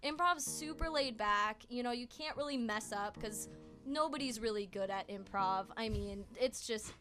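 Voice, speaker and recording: A woman's voice: fairly high pitch, moderate pace, bright, forceful tone, faint music behind. A young woman, a student guest, chatting informally and upbeat in a radio interview. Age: young adult. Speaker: studio guest, student club member. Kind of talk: casual interview chat. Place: small radio studio. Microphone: studio microphone.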